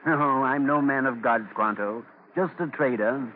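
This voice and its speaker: An actor in a 1940s radio drama playing a kindly, shrewd merchant gentleman, polite and reasonable. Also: mid-range voice, measured pace, close to an everyday voice. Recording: tinny, hissy 1940s radio broadcast.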